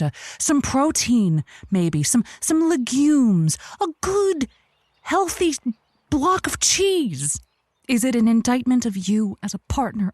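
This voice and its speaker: A woman playing an earnest, rambling advice host on an improvised comedy call-in, halting and theatrically emphatic. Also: fairly high pitch, a little mellow, no echo, clean studio sound, exaggerated voice.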